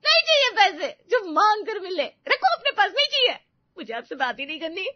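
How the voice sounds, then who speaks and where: A woman's voice: very high-pitched, sing-song, a little fast, muffled recording. A woman voicing an oversensitive young wife, crying and petulant, in a melodramatic family story. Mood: tearful hurt. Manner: wailing, petulant.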